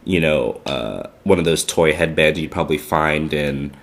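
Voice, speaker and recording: A man's voice: a bass voice, normal speed, crowd noise. A young man co-hosting a casual podcast, congested with a stuffed-up nose, rambling with lots of fillers. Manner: rambling, filler-heavy, laid-back. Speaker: podcast co-host. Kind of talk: casual chat.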